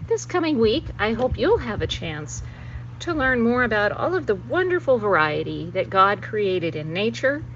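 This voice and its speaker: Woman with a lively voice, gentle teacherly children's talk, outdoors, slightly dull recording, faint hum. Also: fairly high pitch, moderate pace. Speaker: children's story presenter at church. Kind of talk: children's lesson about her chickens. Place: outdoors with chickens in grass.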